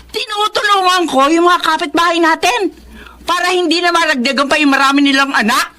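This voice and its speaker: A sharp-tongued scolding mother. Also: extremely high pitch, brisk. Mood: angry scolding. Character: harsh scolding village mother and folk healer. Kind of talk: radio drama acting.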